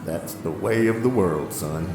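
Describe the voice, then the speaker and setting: A man's voice: deep voice, unhurried. An actor playing a kindly, world-weary older diner owner in an audio drama, fatherly and plainspoken. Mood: resigned. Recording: echoey, with loud music underneath. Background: music.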